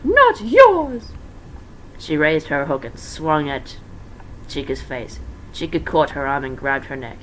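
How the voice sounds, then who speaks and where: Casual fanfic narrator, mid pitch voice, trips up and corrects themself, bit of background noise. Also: steady tone, measured pace. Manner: informal, self-correcting, stumbling. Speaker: amateur fan fiction reader. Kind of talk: casual dramatic reading with asides. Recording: noisy amateur home recording.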